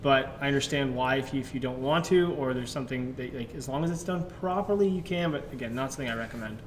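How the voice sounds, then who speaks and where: Fast-talking chatty male co-host. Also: medium pitch, slight background noise. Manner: quick, chatty, explanatory. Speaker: content strategist and co-host. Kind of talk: casual live Q&A chat.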